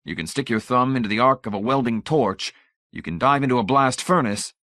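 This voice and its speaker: A man's voice: mid-range voice, lively intonation, a little fast, fairly bright tone, dry recording, clean studio sound, voice pushed up, natural voice. A man voicing a blunt, skeptical male electronics expert who grows exasperated. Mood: challenging. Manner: blunt, irritated and challenging. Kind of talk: audiobook character voice.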